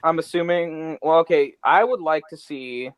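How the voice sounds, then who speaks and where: A man's voice: fairly high pitch, moderate pace, smooth, no room sound, lo-fi sound. A man co-hosting a podcast with casual, opinionated banter. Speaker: podcast co-host.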